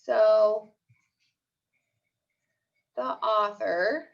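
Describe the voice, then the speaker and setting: A woman's voice: mid-range voice, drawn-out words, vocal fry. A woman teacher reading a story aloud and questioning pupils in an instructional way, often repeating her phrases. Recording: dull lo-fi audio.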